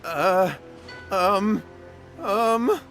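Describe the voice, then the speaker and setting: A man's voice: high voice, lively intonation, extremely slow, creaky, a bit bright, full character voice. A man playing an anxious, evasive young villager in a video game, with a nervous stammering manner. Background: background music.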